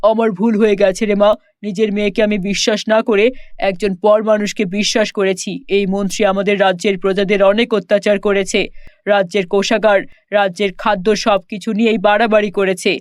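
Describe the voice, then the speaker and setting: A man's voice: high voice, flat delivery, moderate pace, dry recording, studio quality, natural voice. A man playing a remorseful king and doting father in a children's cartoon story, speaking earnestly and apologetically.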